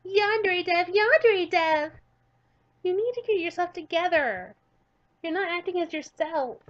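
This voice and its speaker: High, loud anime schoolgirl, frantic and pleading, read by a woman, muffled lo-fi sound. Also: unhurried. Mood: urgent. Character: panicky shouting green-haired schoolgirl.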